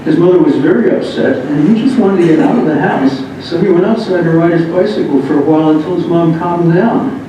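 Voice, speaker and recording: A man's voice: brisk, hardly any pauses. Man reading aloud in a very echoey room. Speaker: reader of a memoir. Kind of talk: reading a written first-person narrative aloud. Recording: muffled, very echoey room, distant mic, rumbling noise.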